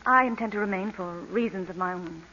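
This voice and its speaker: A woman's voice: mid-range voice, a little fast, sharp tone, slight background noise. A woman acting a proud, world-weary adventuress with a firm manner in an old radio drama. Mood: resolute, weary. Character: proud, resolute cornered adventuress. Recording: muffled vintage radio broadcast.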